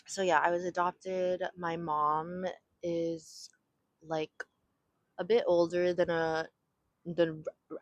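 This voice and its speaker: Young woman from socal, low voice, rambling solo podcast chat w lots of likes and ums, dry close sound. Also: no room sound. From raised in Southern California. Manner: rambling, filler-heavy, frequent "like" and "um". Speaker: podcast host. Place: home near an airport.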